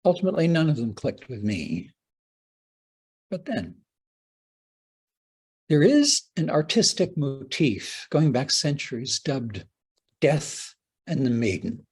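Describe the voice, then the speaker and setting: A man giving a prepared talk as a playwright, with wry, opinionated asides and deliberate, dramatic pauses for emphasis. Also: mid-range voice, sing-song, darkish tone, breathy edge. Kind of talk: prepared conference lecture with personal asides.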